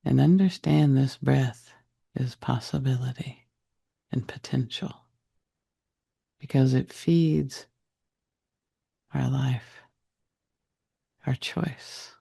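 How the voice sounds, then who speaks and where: A man leading a guided meditation in a soft, breathy, husky voice, speaking deliberately in short phrases with long pauses. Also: mid-range voice. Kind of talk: guided meditation, slow soothing narration.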